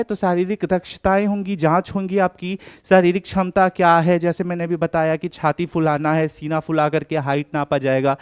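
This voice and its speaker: A man's voice: high-pitched, clear, resonant tone. A man explaining things step by step like a coaching instructor, often checking that students follow.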